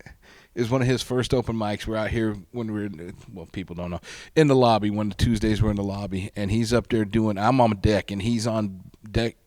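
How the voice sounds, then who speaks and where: A man's voice: lowish voice, fairly even intonation, a little fast, few pauses, a little mellow, no echo, clean studio sound. A man in his fifties, a stand-up comedian talking casually as a podcast guest, crude and self-mocking, laughing at his own stories. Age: 50s.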